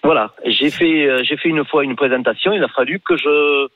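A man with a southern French Toulouse accent, speaking haltingly as a radio caller over a phone line. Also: a little high. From Toulouse, France. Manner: hesitant, halting. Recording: thin narrow phone line.

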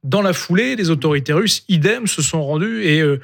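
A man giving a deliberate account as a guest on a TV news panel, with some restarts. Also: on the high side, expressive, creaky, a bit bright. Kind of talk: TV panel debate.

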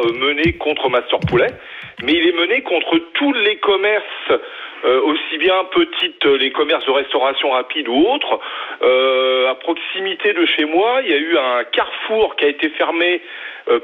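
A husky man in his fifties calls in over a thin, tinny phone line. He is from Brittany and speaks with a slightly raised pitch, stopping and restarting his words as he goes. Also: expressive. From Brittany, western France. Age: 50s. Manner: halting, repeats and restarts words. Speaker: radio caller. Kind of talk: phone-in call.

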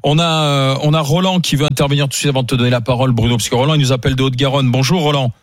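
A man hosting a radio call-in debate, running the discussion in a brisk way. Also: mid-range voice, steady tone, no echo. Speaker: radio host.

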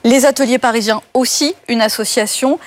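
A woman's voice: on the high side, steady tone, moderate pace, a little hiss or hum. Woman interviewer asking probing questions. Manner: brief backchannels, probing questions.

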